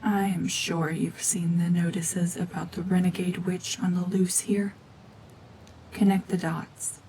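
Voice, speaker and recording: Woman doing a mean gorgon witch for asmr roleplay, breathy whispery threats, flat and cold, bit of background noise. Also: on the low side, a little slow, low-quality recording. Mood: cold menace. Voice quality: hushed, breathy, half-whispered. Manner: menacing, domineering, sardonic.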